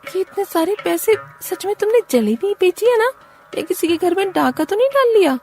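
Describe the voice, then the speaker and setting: A woman voicing an excitable, skeptical village wife and mother in an animated moral story, with a shrill, questioning manner. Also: normal speed, soft background music, heavily acted. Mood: disbelieving.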